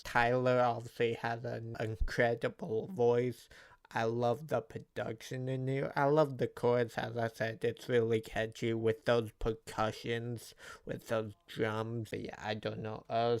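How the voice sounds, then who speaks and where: A man's voice: mid-range voice, gravelly, dry recording. A man giving casual, off-the-cuff commentary on music as a reaction host, rambling and loosely worded with casual swearing. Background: music playing.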